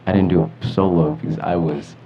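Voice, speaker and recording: Low-voiced young American guy, dull audio. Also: steady tone, brisk, background noise. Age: teens or young adult. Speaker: co-host of a teen talk show. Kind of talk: casual, slangy chat.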